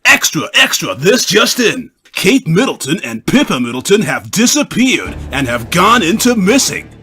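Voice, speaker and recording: Male reader as punchy radio DJ-newsman in a story: high-pitched, lively, urgent. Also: normal speed, faint music behind, full character voice. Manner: punchy announcer delivery.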